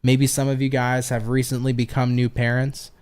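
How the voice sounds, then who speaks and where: A man's voice: medium pitch, monotone, moderate pace, darkish tone, clean tone. A tired man reading tarot on a casual livestream, chatty and profane, laughing often and answering viewer comments. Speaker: tarot reader hosting a livestream.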